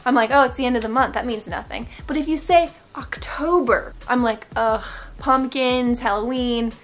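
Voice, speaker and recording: A fairly high-pitched American woman talks casually to her viewers over background music. She hosts like a vlogger reacting to comments, reading bits aloud and tossing in playful, sarcastic asides. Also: normal speed. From United States. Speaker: vlog host.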